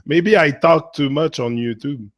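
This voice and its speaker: A man who is losing his voice, hosting a casual spiritual monologue and talking in a rambling, halting way with frequent fillers and repeated phrases. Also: on the high side, dry recording, clean studio sound. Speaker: motivational channel host.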